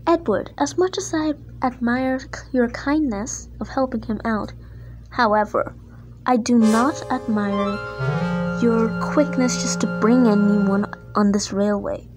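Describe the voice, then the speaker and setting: A pompous male railway controller from a children's story, put on by a woman. He is bullying and quick-tempered, scolding in drawn-out, domineering phrases. The tone is soft-edged for such a tyrant, with light music behind. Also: on the high side, close to an everyday voice, poor audio.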